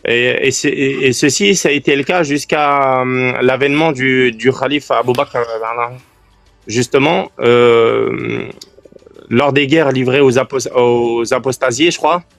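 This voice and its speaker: A man of mid pitch explains his view earnestly and pauses to find the right words. His voice comes through a dry call, with faint music playing underneath. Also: vocal fry, no room sound. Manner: earnest, friendly, searches for words. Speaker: debate guest. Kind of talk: calm religious debate on a live stream. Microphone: remote live-stream call.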